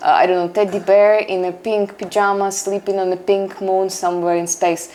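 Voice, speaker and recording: Fast-talking Ukrainian-accented young woman. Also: mid-range voice, a little hiss or hum. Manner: lively storyteller who acts out past conversations. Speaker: guest. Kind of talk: casual interview chat.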